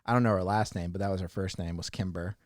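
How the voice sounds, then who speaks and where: A man's voice: low-pitched, fairly even intonation, fast, a little mellow, no echo, clean studio sound. A man co-hosting a casual chat show, bantering in a teasing, joking way. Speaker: podcast co-host and audio drama producer.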